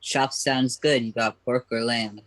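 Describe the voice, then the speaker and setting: Man playing a cheeky, deadpan diner customer. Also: mid-range voice, a little slow, lightly acted. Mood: cheeky, flirty. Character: cheeky male diner customer, flirty and unbothered. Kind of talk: radio comedy play acting.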